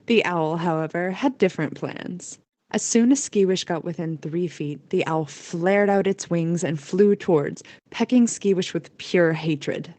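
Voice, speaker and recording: A woman's voice: fairly low pitch, darkish tone, no room sound. A woman reading scripted story narration as the narrator of an amateur audio drama.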